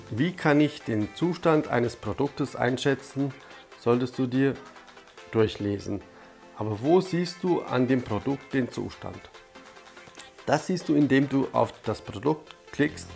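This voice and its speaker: A man's voice: mid-range voice, unhurried, vocal fry, a little mellow, soft background music. A man with a cold walks the viewer through an online tutorial in a friendly, direct way. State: has a cold. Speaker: YouTube channel host. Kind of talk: casual step-by-step tutorial.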